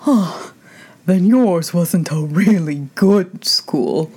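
A woman's voice: fairly low pitch, expressive, a little mellow, husky, heavily acted. A woman voicing a mournful old Mock Turtle from a storybook, sighing and drawing out the words sorrowfully. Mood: wistful. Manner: slow, drawn-out, sorrowful sighing delivery.

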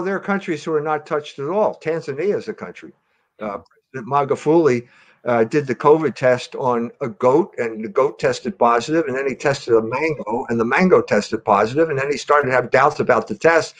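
A man's voice: medium pitch, normal speed. A man giving conversational political commentary, emphatic and repeating himself to drive a point home. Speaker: commentator on a news talk show.

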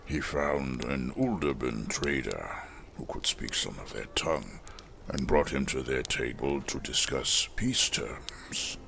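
A solemn, weathered smith of a fantasy audio drama, with an extremely low voice full of gravel. He speaks slowly and weightily, like a reflective former preacher who has survived hard years. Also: noisy background, full character voice. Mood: troubled.

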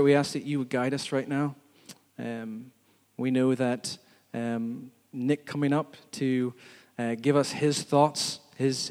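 An earnest man leads his congregation in prayer, speaking in halting phrases with many pauses. His mid-range voice rings with a long reverb off the walls of a church hall. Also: mid-range voice, a little mellow. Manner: earnest, halting, pausing often. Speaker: church leader. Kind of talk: church announcements and spoken prayer.

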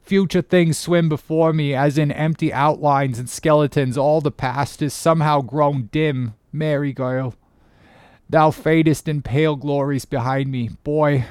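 A man's voice: a little high, steady tone, unhurried, darkish tone, smooth, dry recording, studio quality, voice pushed up, lightly acted. A man playing a loyal, anguished first mate of a ship, speaking in solemn, pleading, archaic phrases. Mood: dread, hushed.

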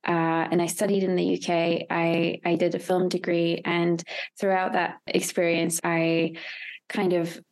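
A woman's voice: lowish voice, monotone, a little slow. A young woman giving casual interview answers as a filmmaker, thoughtful and hesitant as she searches for words. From Hungary. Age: young adult. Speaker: interview guest, experimental filmmaker.

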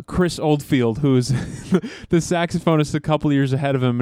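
A man's voice: medium pitch, a little mellow, clear, resonant tone, no echo, clean studio sound. A man hosting a podcast and interviewing a musician in a friendly, chatty way. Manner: friendly, chatty, laughs easily. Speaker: podcast host. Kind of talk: conversational interview.

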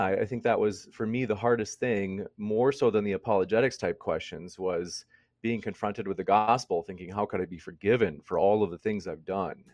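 A man's voice: fairly low pitch, darkish tone, no echo. A man in his mid-thirties speaking as an interview guest in a conversational, reflective way, thinking aloud. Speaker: interview guest, debate channel host. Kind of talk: conversational personal testimony.

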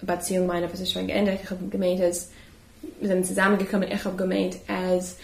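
Zögernde Frau mit flacher, tiefer Stimme. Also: female voice, fairly low pitch, completely flat delivery, a little fast, creaky, reverberant. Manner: hesitant, many fillers and restarts. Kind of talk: casual group discussion.

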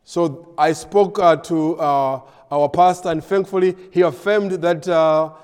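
A man from Malawi, a pastor and missionary in his thirties or forties, giving a ministry update with frequent pauses and fillers. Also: fairly high pitch, fairly even intonation, a little mellow, clean tone, long reverb tail. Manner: hesitant, many filler pauses.

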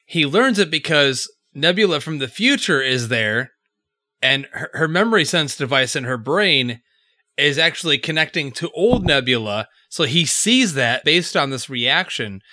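A man's voice: a little high, animated, a little slow, sharp tone, no echo, studio quality. A man in casual podcast chat, speaking in a confident, opinionated way and teasing his co-hosts.

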